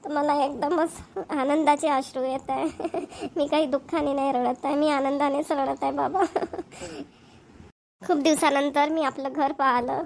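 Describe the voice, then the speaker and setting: A woman plays a weepy daughter in a cartoon drama. The voice is high-pitched and full of emotion, dwelling tenderly on each line. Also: fairly even intonation, measured pace, gravelly, darkish tone, a little hiss or hum. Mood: happy tears. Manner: tearful, emotional. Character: Rajni, weepy grown daughter home after months away. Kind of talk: Marathi cartoon dialogue.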